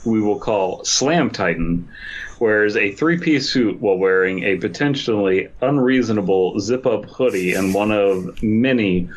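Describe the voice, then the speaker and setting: A man's voice: lowish voice, unhurried, rough recording. A man co-hosting a comedy podcast, riffing in a goofy, casual way and reading aloud. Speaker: podcast host.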